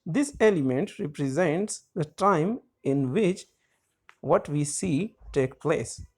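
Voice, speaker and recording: Slow, deliberate male teacher. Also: on the high side, animated, darkish tone, husky. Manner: deliberate, stressing each key term. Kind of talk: slow lecture reading out definitions.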